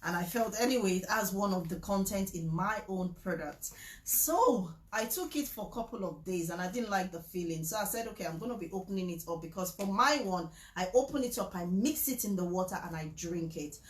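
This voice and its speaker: A woman, talking casually to the camera, mixing a sales pitch with preaching, emphatic and repetitive, with frequent laughter. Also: lively intonation, brisk. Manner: chatty, emphatic, repeats herself, laughs often. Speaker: vlogger promoting supplements. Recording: echoey room.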